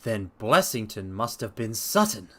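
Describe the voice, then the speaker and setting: A man's voice: lowish voice, sing-song, a little slow, bright, forceful tone, full character voice. A man voicing an earnest, eager male police inspector in a dramatic story reading, with emphatic exclamations. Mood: eager, surprised. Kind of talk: dramatic audiobook-style character reading.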